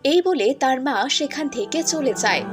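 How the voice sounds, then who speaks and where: High-pitched woman narrating a story. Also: expressive, measured pace, over loud music. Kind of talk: scripted cartoon story narration.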